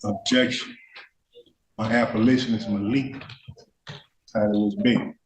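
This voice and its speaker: A low-pitched man answers as a defendant in court. He is terse and insistent, repeating formal objections as if reciting them. The room echoes around his voice. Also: steady tone, a little slow. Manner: insistent, repetitive. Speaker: defendant representing himself in court. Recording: echoey room, faint background noise.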